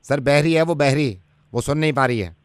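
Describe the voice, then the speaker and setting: A man's voice: medium pitch, moderate pace, clear, resonant tone, dry recording, natural voice. A man playing a polite, insistent service agent on a radio prank call, deferential and persistent. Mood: cheerfully insistent. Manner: deferential, repeats "sir", persistent. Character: polite, insistent male service caller, earnest and officious.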